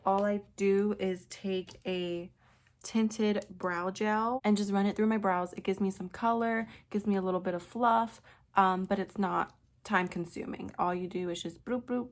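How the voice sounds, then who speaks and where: A young woman with a raspy, congested voice from a sinus infection, chatting casually through a quick makeup tutorial with lots of fillers. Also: mid-range voice, fairly even intonation. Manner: chatty, rambling with many fillers. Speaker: beauty vlogger.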